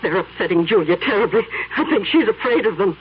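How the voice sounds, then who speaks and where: A woman's voice: mid-range voice, lively intonation, a little fast, husky. An actress voicing a flustered elderly woman in a 1970s radio drama, indignant and stammering. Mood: pleading. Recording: dull vintage radio broadcast.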